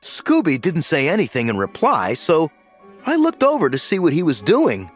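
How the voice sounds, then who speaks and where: A man narrating an audiobook in the first person with lively storytelling. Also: on the high side, faint music behind. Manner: lively storytelling, slow and animated.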